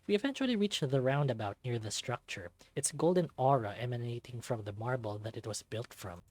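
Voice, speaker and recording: A man reading a visual novel aloud as expressive first-person scripted narration. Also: mid-range voice, fairly quick, no echo.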